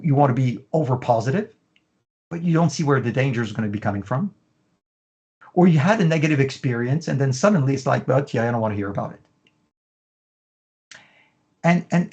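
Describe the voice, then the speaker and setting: A man's voice: on the high side, darkish tone, dull audio. A middle-aged man, a fashion business consultant, explaining his methods in an interview and stringing long lists together with frequent "you know" fillers.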